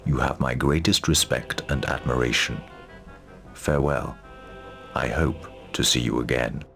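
Very deep, gravelly noble lord. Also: male voice, measured pace, bright, forceful tone, soft background music, full character voice. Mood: solemn, respectful. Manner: formal, stately. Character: grave, noble older lord, solemn and respectful. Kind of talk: fantasy video game cutscene acting.

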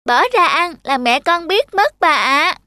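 A woman's voice: high-pitched, animated, unhurried, pushed and bright, slight background noise, full character voice. A woman voicing an affectionate, earnest little boy in a cartoon. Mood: caring, concerned. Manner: earnest, childlike.

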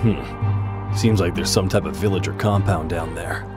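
Resolute game team leader, very low voice, earnest, steady, loud music behind. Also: male voice, a little slow. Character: steady, determined young male action hero and team leader. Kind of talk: video game cutscene acting.

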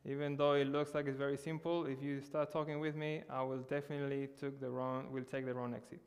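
A man preaching a sermon in earnest, slowing down to stress key words. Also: mid-range voice, little pitch movement, long reverb tail. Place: church hall.

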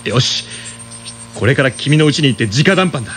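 Man as a cocky young suitor, swooping pitch. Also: lively intonation, moderate pace, fairly bright tone, a little hiss or hum, close to an everyday voice. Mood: confident. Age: young adult. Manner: boastful, wheedling. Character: cocky, earnest young suitor, easily rattled. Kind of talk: comic radio drama acting.

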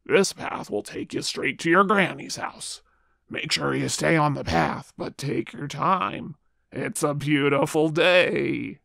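A woman voicing a sly, hungry male big bad wolf in a children's puppet show, gravelly and falsely polite. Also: deep voice, expressive, a bit bright, no echo, studio quality, voice pushed down, heavily acted. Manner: slow, scheming, falsely polite.

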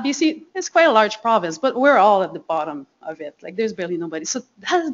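A woman's voice: mid-range voice, lo-fi sound. Quebec French-accented woman giving a conference talk. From Quebec, Canada. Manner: chatty and self-deprecating, many ums and restarts. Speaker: conference speaker, seed farmer. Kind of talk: live conference presentation. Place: conference room.

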